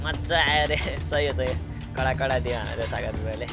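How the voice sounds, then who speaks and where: A man's voice: mid-range voice, racing, loud music behind the voice. A young man from Nepal casually chatting and cheering on his livestream. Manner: excited, cheering. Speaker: livestreamer watching a tournament. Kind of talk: casual live-stream chat mixing Nepali and English.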